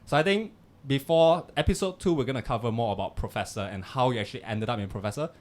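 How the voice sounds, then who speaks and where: A man's voice: mid-range voice, quick pace. A young man hosting a podcast, chatty and loose in his phrasing, with frequent fillers. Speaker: podcast host. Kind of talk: casual podcast interview.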